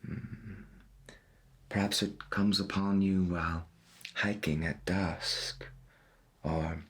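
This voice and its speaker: A man playing a veteran late-night paranormal radio host in a soft-spoken 1990s AM radio roleplay, with a breathy, gravelly voice and a calm, musing, florid way of talking full of pauses. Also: low-pitched, full character voice. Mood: wistful, awed.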